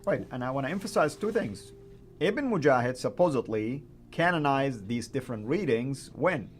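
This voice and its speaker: A man co-hosting a discussion, speaking in a measured way, agreeing and adding explanations. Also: medium pitch, expressive.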